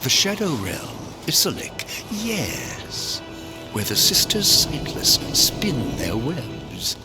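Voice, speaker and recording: A man's voice: medium pitch, huge pitch swings, slow pace. An actor playing a haughty, scheming chancellor to a dead king in a video game, pompous, theatrical and condescending. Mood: sly, theatrical. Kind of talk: video game cutscene acting. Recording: loud cinematic music behind.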